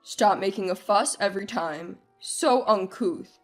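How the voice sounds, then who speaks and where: Woman doing a curt, domineering husband, pitched down. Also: unhurried, soft background music, natural voice. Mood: angry, scolding. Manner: curt, scolding, condescending. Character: domineering, dismissive, selfish husband, adult man. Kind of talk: acted dialogue in a narrated family drama story.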